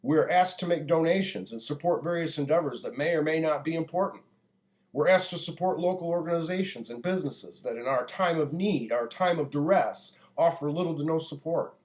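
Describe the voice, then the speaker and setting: An American man delivering a prepared public address in a deliberate, earnest, preaching manner. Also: on the high side. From United States. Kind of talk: earnest prepared monologue, oratory.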